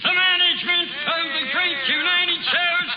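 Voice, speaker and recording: A man's voice: very high-pitched, steady tone, heavy background noise. An actor playing a grandiose circus ringmaster in a 1930s radio drama, declaiming like a showman. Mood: grand showmanship. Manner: declamatory, drawn-out showman delivery. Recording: muffled echoey 1930s radio, music and crowd.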